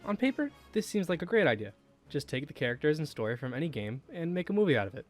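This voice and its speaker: A young man reading a scripted video essay as a student narrator, in a measured, enunciated way with rhetorical questions. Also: on the high side, very animated, faint music behind. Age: young adult.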